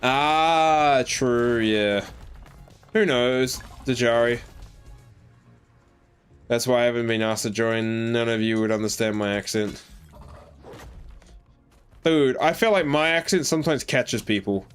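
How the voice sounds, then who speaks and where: A man's voice: mid-range voice, takes it slowly, long pauses, clean tone, faint music behind. Rambling Australian man streaming a game. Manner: rambling, filler-heavy, reading and answering chat. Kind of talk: casual live-stream chat while playing a game. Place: home in New Zealand.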